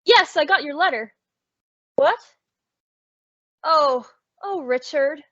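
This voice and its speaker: A woman's voice: sits high, takes it slowly, a bit bright, muffled recording. A woman playing a sensible young roommate pushed to exasperation, emphatic and dramatic, in an old-time radio comedy. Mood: flustered.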